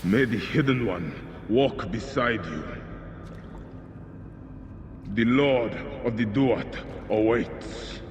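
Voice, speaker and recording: A man's voice: mid-range voice, expressive, slow. An actor playing a solemn, intense Egyptian warrior and grieving father out for vengeance in a video game. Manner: intense, solemn, ritualistic in speech. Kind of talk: dramatic game cutscene acting. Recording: long reverb, clear background noise.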